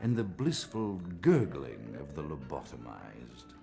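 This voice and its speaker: An actor playing a pompous, morbid old vampire psychiatrist in a video game, with grandiloquent, brooding and precise diction. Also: male voice, rumbling low, sing-song, measured pace, creaky, dark tone, faint music behind, heavily acted. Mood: morbid nostalgia. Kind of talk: theatrical monologue, video game voice acting.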